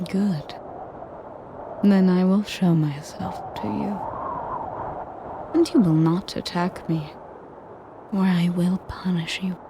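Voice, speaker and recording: A very slow, low-pitched, half-whispering she-dragon, played by a woman in an audio roleplay. She is haughty, possessive and cruel, talking down to her listener with breathy menace. Clear noise sits behind the voice. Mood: possessive, commanding. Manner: pompous, condescending. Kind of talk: scripted audio roleplay monologue.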